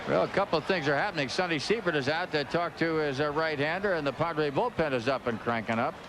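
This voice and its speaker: A man's voice: on the high side, quick pace. An American man calling baseball play-by-play in a folksy, conversational way with clipped calls. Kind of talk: radio play-by-play commentary. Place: ballpark broadcast booth. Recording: noisy broadcast over loud ballpark crowd. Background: loud crowd and stadium ambience.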